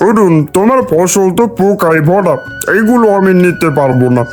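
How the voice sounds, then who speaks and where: An actor playing a frank, businesslike vegetable trader in a cartoon. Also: male voice, high-pitched, lively intonation, moderate pace, faint music behind. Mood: dismissive.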